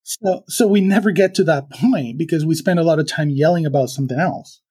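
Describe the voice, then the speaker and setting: A man from Venezuela speaking as a podcast guest, thoughtful and halting, with many fillers and restarts. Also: a little high, dark tone, breathy edge. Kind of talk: reflective conversational interview.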